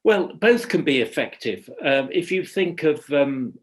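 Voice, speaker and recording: A man giving informal expert answers in an online question-and-answer session, speaking haltingly as he thinks aloud and restarts his phrases. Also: fairly high pitch, very animated. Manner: hesitant, halting, thinking aloud with repeated starts. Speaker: guest expert, radio dramatist.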